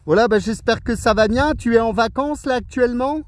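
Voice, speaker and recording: A man's voice: extremely high pitch, a little fast, no room sound. A man making a casual, upbeat radio contact as an amateur operator, chatty and often repeating little tag words.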